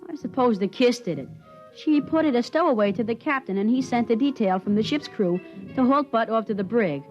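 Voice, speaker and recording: A woman's voice: a little high, lively intonation, a little fast, soft background music, close to an everyday voice. A woman playing a defiant, blunt, plain-spoken young boy who also narrates, in a 1950s radio drama. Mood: narrating. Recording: dull vintage 1950s radio recording.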